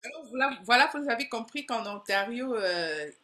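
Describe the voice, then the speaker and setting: A woman's voice: a little high, expressive, normal speed, bright, forceful tone. A woman talking straight to her viewers in a casual explainer video, walking through each point step by step. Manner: chatty, explains step by step, addresses viewers directly. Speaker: video creator.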